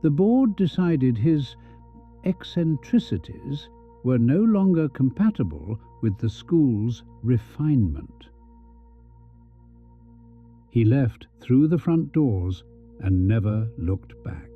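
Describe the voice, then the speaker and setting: A man's voice: medium pitch, sing-song, slow. A man playing the talking portrait of a former headmaster in an audio story, world-weary and gossipy, with a dry drawl. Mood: dry, resigned. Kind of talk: audio fan fiction acting. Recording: dull, band-limited sound. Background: music.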